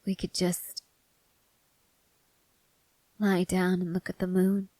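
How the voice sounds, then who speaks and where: A woman playing a weary young female soldier, guarded but tender, in an intimate ASMR roleplay, speaking in a hushed, halting way with soft whispers. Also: on the low side, monotone, dry recording. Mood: tender, calm.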